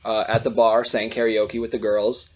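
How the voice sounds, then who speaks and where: A young man co-hosting a casual podcast chat, a little hoarse from losing his voice, telling animated stories and swearing freely. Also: fairly low pitch, moderate pace. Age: young adult. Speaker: podcast co-host.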